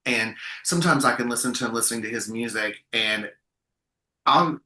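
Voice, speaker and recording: A man's voice: medium pitch, moderate pace, bright, forceful tone, echoey room. A man hosting a podcast, chatting enthusiastically and rambling with restarts as he interviews a guest. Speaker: podcast host. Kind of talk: casual interview chat.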